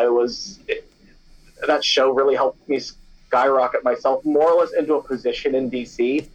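Chatty young man, slightly muffled audio. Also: mid-range voice. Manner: chatty and animated, many fillers like "you know" and "like". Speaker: snack company founder, former radio DJ. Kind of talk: casual interview answers.

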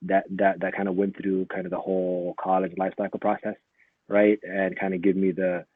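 A man born in Somalia, a guest in a casual interview, talking in a relaxed, rambling way full of fillers and restarts. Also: deep voice, moderate pace, dry recording. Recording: tinny phone-like lo-fi line, dry.

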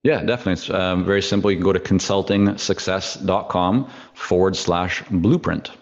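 Man, very deep voice, from Canada, native Hebrew speaker, rambling with fillers, muffled lo-fi recording. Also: moderate pace. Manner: rambling, hesitant with many fillers. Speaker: guest. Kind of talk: podcast interview.

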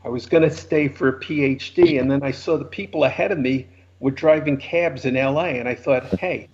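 Gravelly New York man telling wry stories. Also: medium pitch, fairly quick, darkish tone. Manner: wry, anecdotal, self-deprecating humor. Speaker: guest, former lawyer. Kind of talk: conversational storytelling interview. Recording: slightly muffled lo-fi audio.

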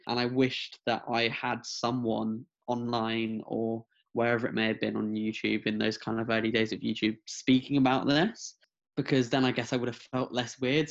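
A man in his twenties, raised in England and France, talking earnestly as a podcast guest, with many restarts. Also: medium pitch. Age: 20s. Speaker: guest, mental health advocate. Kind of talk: casual interview over video call. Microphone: video call. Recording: slightly dull lo-fi audio.

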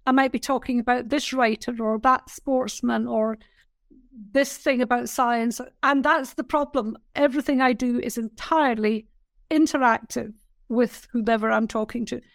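Older woman, high pitch, dry close sound; emphatic mentor in a podcast interview. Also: fairly even intonation, normal speed, no echo. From England. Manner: emphatic, repeats key phrases. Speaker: business mentor guest. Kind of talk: reflective interview talk.

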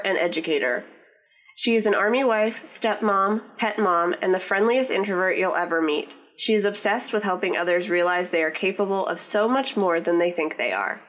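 A woman's voice: mid-range voice, a bit bright. A woman hosting a podcast, reading a friendly scripted intro and then asking interview questions. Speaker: podcast host.

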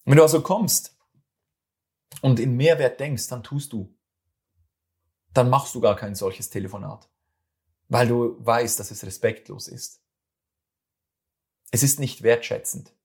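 A man's voice: mid-range voice, a little slow, gravelly, long pauses, darkish tone. A man with a Swiss German accent, talking earnestly to the listener in a motivational monologue, often restarting and repeating phrases. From Switzerland. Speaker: speaker giving communication advice.

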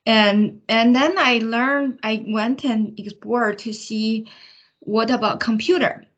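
A woman's voice: on the high side, steady tone, slow, fairly bright tone, lo-fi sound. Woman guest, Chinese native speaker. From China. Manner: plain storytelling with light laughs. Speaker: software engineering manager. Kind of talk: interview answers.

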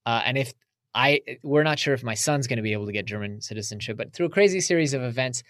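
A man's voice: medium pitch, quick pace, a bit bright, dry recording, clean studio sound. A man from the United States, a podcast guest, talking casually and reflectively in an interview.

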